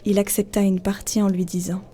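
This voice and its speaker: A woman's voice: mid-range voice, flat delivery, brisk, warm tone, a little hiss or hum. A woman narrating a folk tale as a scripted audio story. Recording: faint music behind. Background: faint music.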